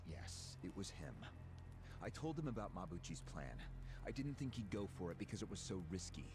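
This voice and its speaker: A man playing a guarded, cynical political fixer in a dramatic video game, talking in a measured, sardonic way. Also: sits low, very animated. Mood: resigned, matter-of-fact. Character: guarded, cynical male political fixer serving a powerful boss.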